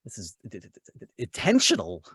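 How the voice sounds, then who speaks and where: A man acts out an anxious male scientist in a tabletop roleplaying game. He stammers through careful explanations, repeating sounds before he gets words out. The pitch is middling and the sound is dry. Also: wildly swooping pitch, fairly bright tone, breathy edge, no room sound, full character voice. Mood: worried, halting. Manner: stammering, halting, repeating syllables.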